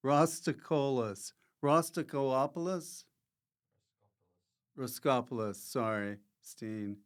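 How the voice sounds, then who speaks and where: A man hosting a comic podcast in a rambling, forgetful, jokey way, often halting as he loses his thread. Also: a little high, smooth. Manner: jokey, prone to loud mock announcements. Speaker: podcast host.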